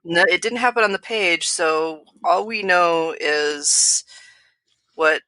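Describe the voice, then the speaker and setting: A woman's voice: on the low side, takes it slowly, bright, forceful tone, dry recording, rough recording. A woman co-hosting a podcast, talking through a book in a casual, tentative, musing way. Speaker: podcast co-host. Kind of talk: casual book discussion chat.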